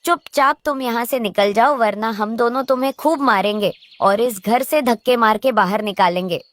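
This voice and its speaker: A woman plays a wicked cartoon crow wife with a fairly high voice, recorded close and dry. She is bossy and wheedling, lazy herself yet always scheming. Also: moderate pace, no room sound, close to an everyday voice. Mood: threatening. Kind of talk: Hindi children's cartoon character voice.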